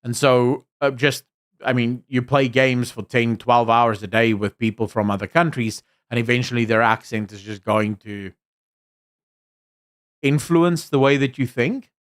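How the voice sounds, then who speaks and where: A man's voice: mid-range voice, steady tone, unhurried. A young adult Afrikaans man from South Africa with a mixed accent, hosting a live stream, reading chat and giving emphatic opinions with fillers and restarts. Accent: Afrikaans South African, mixed with American and British. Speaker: livestream host reading chat. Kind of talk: casual live chat commentary.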